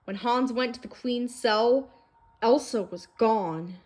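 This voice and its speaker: A woman's voice: a little high, slow, a little mellow. A woman reading a children's storybook aloud as a narrator. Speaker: storybook reader. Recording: faint music behind the voice. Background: music.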